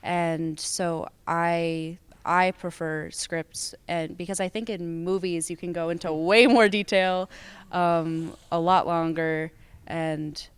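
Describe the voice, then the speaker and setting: Clear-voiced, unhurried young American woman. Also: lowish voice, fairly even intonation, dry recording. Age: young adult. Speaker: student theater performer and writer being interviewed. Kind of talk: casual interview answers.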